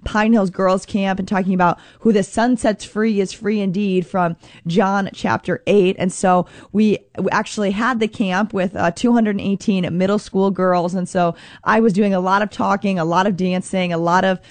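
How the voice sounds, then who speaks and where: A woman hosting a faith radio show, earnest and upbeat, with a slightly scratchy, hoarse voice. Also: medium pitch, steady tone, moderate pace, few pauses, no echo. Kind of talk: prayerful scripture talk.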